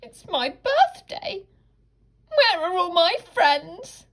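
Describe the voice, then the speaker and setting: A woman's voice: extremely high pitch, big pitch swings, slow pace, a bit bright, voice pushed up, heavily acted. A woman voicing an innocent, easily upset young male duck in a children's storybook, plaintive and childlike. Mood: tearful. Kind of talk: children's storybook read-aloud.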